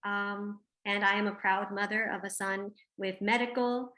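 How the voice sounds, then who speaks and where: A woman introducing herself as a scholar on a video call, in a measured academic way. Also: mid-range voice, steady tone, pushed and bright. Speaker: panelist, scholar. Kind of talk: panel self-introduction.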